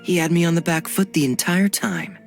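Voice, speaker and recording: An actor playing a suave, courteous cavalry captain in a video game cutscene. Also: male voice, on the high side, moderate pace, soft background music, natural voice. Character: suave charming knight captain man, smooth and teasing.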